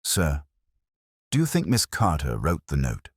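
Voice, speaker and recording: A man's voice: extremely low pitch, huge pitch swings, a little slow, breathy edge, no room sound, clean studio sound, full character voice. A man voicing an earnest, eager, bewildered police sergeant in a story, asking plain and naive questions.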